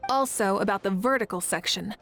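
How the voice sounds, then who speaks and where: A woman's voice: mid-range voice, fairly quick, fairly bright tone, faint music behind, natural voice. A woman voicing a confident, gracious video game heroine who speaks in an assured, courteous and playfully competitive way. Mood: thoughtful. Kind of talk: video game character acting.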